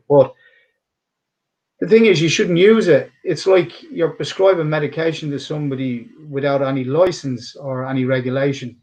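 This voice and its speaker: A man's voice: mid-range voice, darkish tone, lo-fi sound. Earnest middle-aged Dublin Irish man. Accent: Dublin Irish, somewhat polished. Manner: earnest and emphatic, rambling with restarts. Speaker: boxing and MMA cutman, interview guest. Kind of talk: conversational interview answers.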